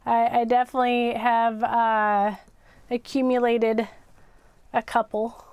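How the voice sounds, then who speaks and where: High-voiced woman chatting through a craft livestream. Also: level tone, slow, a little mellow, clean tone, no echo. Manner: chatty, self-narrating, repeats words. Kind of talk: casual live craft chat, thinking aloud.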